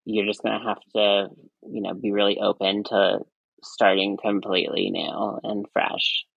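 High-voiced young man, gravelly fry. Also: little pitch movement, unhurried, dry recording, dull audio. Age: 20s. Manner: rambling, many filler likes and restarts. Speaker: podcast guest, NICU nurse. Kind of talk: casual chat.

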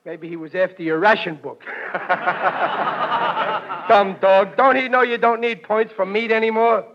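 A man's voice: sits high, steady tone, measured pace, background noise. A man playing a brash, good-humored working-class American family man in a slangy 1940s radio comedy style. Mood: mocking, amused. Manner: wisecracking, slangy, ungrammatical. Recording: dull vintage 1940s radio recording.

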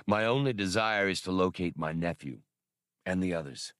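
A man voicing a courteous, grave older scholar in an audiobook, with formal, deliberate wording. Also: low voice, expressive, fairly bright tone, no echo, clean studio sound. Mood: calm, dignified. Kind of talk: audiobook character dialogue.

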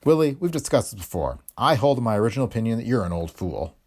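A man's voice: fairly low pitch, sing-song, fast talker, darkish tone. A man voicing a dry, pompous male hotel detective who speaks in a formal, long-winded way.

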